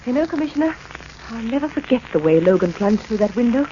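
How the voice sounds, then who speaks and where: A woman's voice: a little high, big pitch swings, fast talker, noisy background. An actress playing a concerned, curious society lady in a 1940s radio drama. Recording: muffled, echoey old radio.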